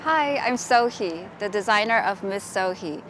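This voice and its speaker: A young woman from South Korea, speaking softly and reflectively as a fashion designer in a documentary interview. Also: mid-range voice, expressive, unhurried, a little hiss or hum.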